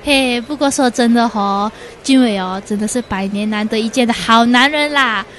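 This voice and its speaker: Radio drama part: female student sidekick woman actor, high voice, Malaysian Chinese accent, colloquial and mock-complaining, light background noise. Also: normal speed, a bit bright, natural voice. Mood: chatty, teasing. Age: young adult. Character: chatty, teasing young female student, loyal best friend.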